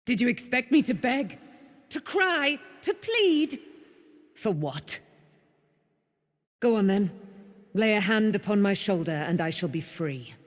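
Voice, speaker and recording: An actress playing a proud, wrathful immortal warrior, daughter of a moon goddess, declaiming in grand archaic speech for a fantasy game. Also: female voice, medium pitch, sing-song, slow pace, pauses often, no echo, studio quality. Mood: defiant scorn. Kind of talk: theatrical fantasy game voice acting.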